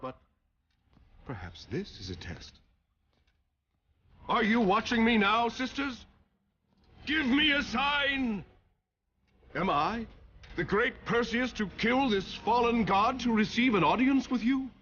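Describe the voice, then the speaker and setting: An actor playing a proud, desperate Greek hero in a video game, grandiose and scornful. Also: male voice, very high-pitched, sing-song, slow, pauses often, a bit bright, muffled recording, a little hiss or hum, exaggerated voice. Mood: desperate pleading. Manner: grandiose, theatrical. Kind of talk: dramatic video game acting.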